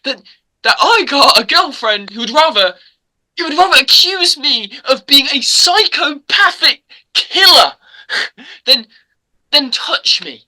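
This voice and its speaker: Man as wounded, pushy teen boyfriend; very bright, high voice, slow halting delivery, table-read drama. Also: very animated, exaggerated voice, low-quality recording. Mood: hurt, frustrated. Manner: halting, stammering, repeats words. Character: accused teenage boyfriend, pleading, wounded and pushy. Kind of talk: amateur screenplay table read.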